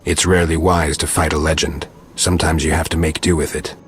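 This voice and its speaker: A man playing a gruff, stoic monster hunter in a video game, speaking tersely and deadpan in a gravelly voice. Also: rumbling low, a little fast, slight background noise. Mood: dry, stoic. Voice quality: gravelly, husky. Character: gruff, stoic male monster-hunting witcher. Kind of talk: video game dialogue acting.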